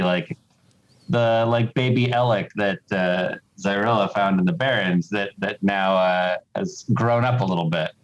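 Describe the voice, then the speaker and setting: A man speaking as a game developer, explaining things casually in a halting way with repeated words. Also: sits low, muffled recording. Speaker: game developer being interviewed.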